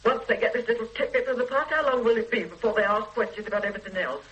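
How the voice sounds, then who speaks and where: An actress in a radio play voicing a bitter, resentful older invalid wife who speaks in a cold, cutting, controlled way. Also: female voice, a little high, rapid-fire, a bit bright, dull audio, a little hiss or hum, natural voice.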